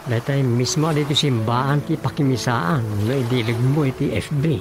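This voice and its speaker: Casting: male actor as curious provincial townsman, Ilocano accent, gravelly slightly high voice, radio drama with music underneath. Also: moderate pace, natural voice.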